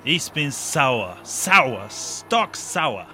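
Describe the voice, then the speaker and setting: A man's voice: mid-range voice, big pitch swings, very slow, bright, forceful tone, slight background noise, full character voice. A man playing an indignant, worldly-wise talking banana in a political radio play, lecturing emphatically and repeating words for effect. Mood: sour, indignant.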